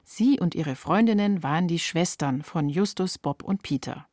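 A woman's voice: on the low side, animated, normal speed, a little mellow, no echo, clean studio sound. A woman reporter reading scripted narration for a radio feature.

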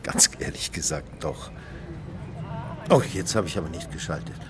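An actor playing a chatty, flirtatious newcomer in a naturalistic radio drama. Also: male voice, sits low, moderate pace, noisy background, natural voice. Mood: polite, playful. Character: chatty, flirtatious newcomer waiting for a blind date.

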